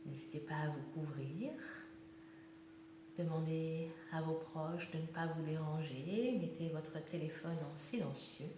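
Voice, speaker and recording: A woman with a slightly low voice leads a guided meditation. She speaks very slowly and calmly, leaving pauses between short, deliberate instructions. The room is very echoey, with a faint rumble of noise behind her. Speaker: yoga nidra guide.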